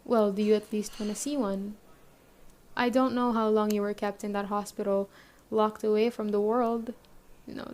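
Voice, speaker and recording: A woman playing a young zombie-apocalypse camp chief in a roleplay audio drama, explaining things conversationally to the listener with hesitant pauses. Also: fairly high pitch, dark tone, close to an everyday voice. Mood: firm, inviting.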